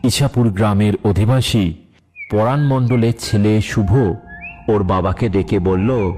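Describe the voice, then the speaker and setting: A man's voice: on the low side, unhurried, warm tone. A man narrating an emotional children's story from a script as the storyteller. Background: faint music.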